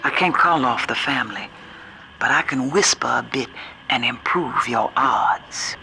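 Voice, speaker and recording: A wary, stern older Southerner in a video game, plain-spoken and folksy. The delivery is slow and deliberate. There is clear noise around the voice. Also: mid-range voice. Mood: grateful, troubled.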